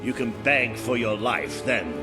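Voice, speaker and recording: An actor playing a resolute, terse, loyal soldier in a fantasy video game. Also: male voice, medium pitch, lively intonation, measured pace, natural voice. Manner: terse, commanding. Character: gruff, resolute soldier, loyal comrade in arms. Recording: music under game dialogue. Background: music.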